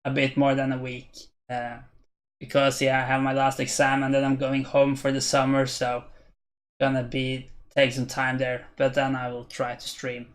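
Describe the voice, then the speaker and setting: A man's voice: medium pitch, monotone, moderate pace. A young man in his twenties from Norway, with a Norwegian accent, chatting hesitantly and thinking aloud as he streams chess and answers viewers. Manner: hesitant, thinking aloud while reading chat. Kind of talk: casual live-stream commentary.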